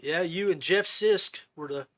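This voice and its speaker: A man's voice: fairly high pitch, unhurried, a bit bright. A man hosting a podcast in a casual chat, with a folksy manner, often rephrasing his questions as he goes. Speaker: podcast host. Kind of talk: casual chat interview about fishing.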